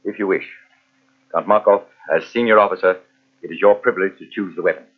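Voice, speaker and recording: An actor playing a stern senior army major in an old-time radio drama, formal and clipped. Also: male voice, deep voice, fairly even intonation, a little fast. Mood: grave. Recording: tinny, narrow-band old radio recording.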